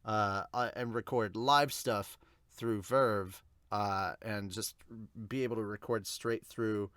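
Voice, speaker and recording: A man's voice: fairly low pitch, steady tone, takes it slowly, fairly bright tone, smooth, dry recording, studio quality. A man hosting a manga review podcast, chatting to viewers in an informal, rambling way. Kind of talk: casual chat to viewers.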